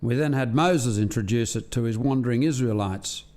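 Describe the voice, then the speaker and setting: Radio play alien researcher, male actor: sober, formal, medium pitch, delivers findings. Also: normal speed, darkish tone, close to an everyday voice. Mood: sober, explaining. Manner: formal, expository.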